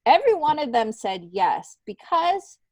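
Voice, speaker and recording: A woman's voice: sits high, sing-song, unhurried, dark tone, no echo. A middle-aged woman speaking candidly and reflectively in an informal interview, repeating points for emphasis. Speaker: podcast guest, stand-up comedian.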